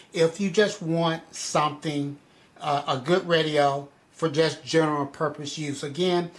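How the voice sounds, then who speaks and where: A man giving a casual product review in a conversational way, repeating points for emphasis. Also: on the high side.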